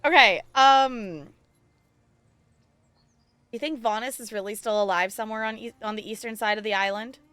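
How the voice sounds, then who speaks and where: A woman's voice: on the high side, normal speed, long pauses, sharp tone, clean tone, dry recording. A woman chatting casually as she live streams a game, rambling in a playful, self-deprecating way. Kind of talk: casual gaming stream chat. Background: music.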